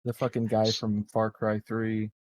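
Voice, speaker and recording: A man's voice: lowish voice, completely flat delivery, a little slow, crowd noise. A man chatting casually and irreverently as a player in a tabletop game.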